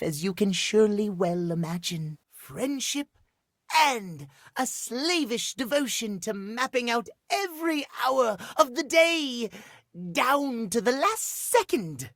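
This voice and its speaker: A high-voiced gentleman timepiece from a video game. He is prim, anxious and easily smitten, with formal old-fashioned diction. His delivery is unhurried but theatrical, leaping up and down dramatically in pitch. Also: no room sound, studio quality, heavily acted. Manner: theatrical, emotional swings. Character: prim, lovestruck, anxious male living timepiece, fussy about schedules. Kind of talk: video game character acting.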